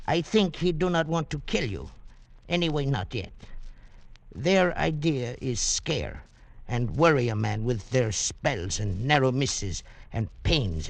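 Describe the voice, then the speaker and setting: A man playing a fretful, insinuating Portuguese landlord in an old radio drama, with a gravelly voice and halting broken English. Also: mid-range voice, heavily acted. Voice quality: gravelly, creaky. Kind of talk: 1940s radio acting. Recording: vintage radio drama recording.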